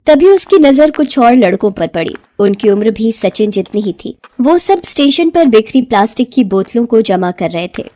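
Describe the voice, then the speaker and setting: A woman tells a scripted moral story in a fairly high voice. Her pitch moves in lively swings, giving the narration an expressive, dramatic storybook feel. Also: moderate pace, hardly any pauses.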